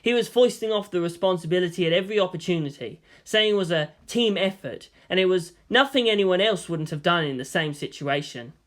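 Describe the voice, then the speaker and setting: Bright, animated story narrator. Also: medium pitch, fairly quick, few pauses. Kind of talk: dramatic scripted story narration.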